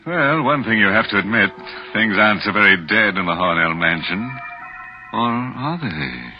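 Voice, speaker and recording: Very deep, macabre radio horror host. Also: male voice, lively intonation, moderate pace. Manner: macabre, punning, theatrical. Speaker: show host and announcer. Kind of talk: 1940s radio horror hosting. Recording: dull 1940s radio, music behind.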